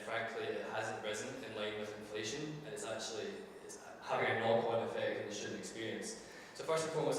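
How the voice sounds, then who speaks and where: A man's voice: on the low side, a bit bright, a little hiss or hum. A young man, a student election candidate, giving an earnest, policy-focused campaign speech at a hustings. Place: university hall. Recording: echoey hall, mic from far off.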